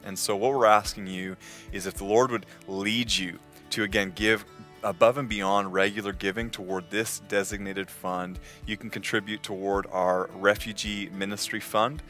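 A man's voice: on the low side, a bit bright. A young man on church staff addressing the congregation with an upbeat, direct appeal, recorded in a church sanctuary on a headset mic. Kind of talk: church announcement.

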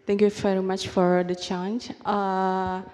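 A woman's voice: mid-range voice, level tone, slow, darkish tone, a little hiss or hum. Woman, audience questioner, filler-heavy English, echoing hall. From Indonesia. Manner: searching, filler-heavy phrasing. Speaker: audience member asking a question. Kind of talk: question at a forum. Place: large conference hall. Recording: long hall reverb.

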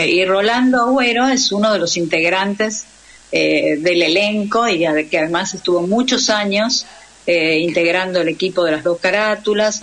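Woman, low voice, Argentine accent, radio guest, conversational interview style. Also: a bit bright. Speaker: radio drama teacher and guest.

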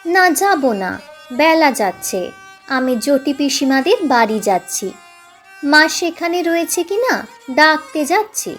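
A woman's voice: high-pitched, sing-song, takes it slowly, fairly bright tone, full character voice. A woman voicing an offhand, well-off older village boy in a narrated story. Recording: music playing behind the voice.

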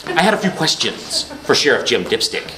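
A man playing a melodramatic true-crime TV anchor in a live comedy sketch, portentous and full of dramatic pauses. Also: mid-range voice, very animated, natural voice. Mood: mock-grave, insistent. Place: small theatre stage before an audience. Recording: noisy, echoey live theatre recording.

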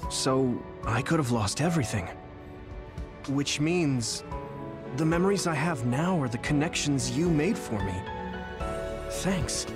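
Earnest gentle young soldier guy, anime game style, lighter pitch, music behind. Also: expressive, unhurried, pauses often.

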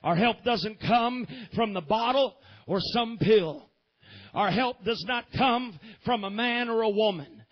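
Husky high-voiced man preaching fervently. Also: a little slow, muffled recording. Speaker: pastor. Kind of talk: sermon.

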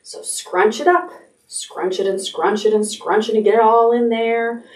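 A woman's voice: fairly high pitch, fairly even intonation, measured pace. A middle-aged woman leading a toddler storytime, giving simple, repeated instructions with encouragement. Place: indoor room decorated with stuffed animals. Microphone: camera a few metres away. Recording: roomy, distant from the mic.

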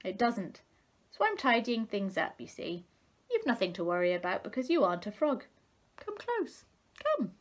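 A woman's voice: mid-range voice, wildly swooping pitch, normal speed. A woman reading a storybook as a sly male crab, mocking and wheedling. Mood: smug, coaxing.

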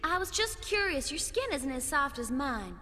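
A woman voicing a brash, teasing, impulsive androgynous young alien cadet in an animated film dub, cocky and blunt. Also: high-pitched, normal speed, heavy background noise. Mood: curious. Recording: reverberant film soundtrack with music behind.

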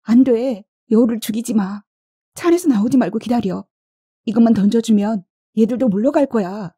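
Brave, insistent young heroine voiced by a female narrator, pitched up, pleading urgently, storybook drama. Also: normal speed, dark tone, slightly rough, no room sound, clean studio sound. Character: young woman bravely pleading to spare animals.

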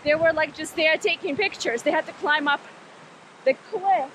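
High-voiced chatty woman vlogging outdoors. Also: moderate pace, fairly bright tone, a little hiss or hum, rough recording. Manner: chatty, laughs easily. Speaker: family travel vlogger. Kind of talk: casual vlog narration. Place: outdoors by a river in the mountains. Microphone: handheld action camera at arm's length. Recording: background music.

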